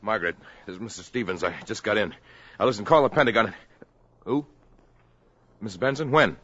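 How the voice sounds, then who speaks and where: An actor playing a brash, ambitious young man, pushy and excitable, in a 1950s radio drama. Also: lowish voice, brisk, slight background noise. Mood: brisk.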